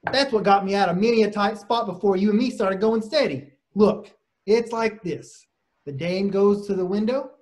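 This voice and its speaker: A man playing a pushy, slangy vaudeville trouper in a stage play table read. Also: high voice.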